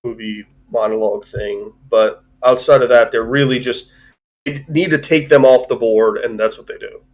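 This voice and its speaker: A man's voice: mid-range voice, fairly even intonation, normal speed. A man speaking casually and offhandedly, like a streamer giving live commentary on a film.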